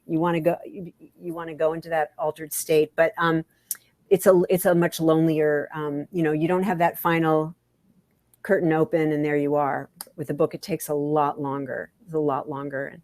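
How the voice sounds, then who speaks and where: A woman with a fairly low voice talks candidly as an interview guest. Her delivery is halting, circling back to repeat phrases for emphasis. The sound is quite dry, with little room echo. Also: level tone, a little mellow. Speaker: author, podcast guest.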